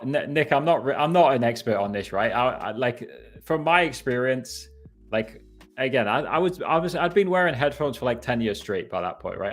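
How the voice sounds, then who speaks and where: A man in his thirties with a northeast English accent, hosting a casual live question-and-answer stream and rambling with frequent laughs and self-corrections. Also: medium pitch. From northeast England. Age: 30s. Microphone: headphones on.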